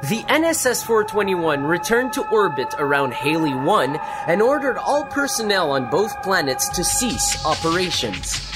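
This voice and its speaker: Animated woman narrating a serial. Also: on the low side, normal speed. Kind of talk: scripted dramatic serial narration. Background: loud music with guitar.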